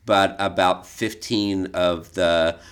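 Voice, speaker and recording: A man co-hosting a podcast in casual conversation, explaining things in a measured, hesitant way. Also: low-pitched, steady tone, clear, resonant tone. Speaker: podcast co-host, art expert.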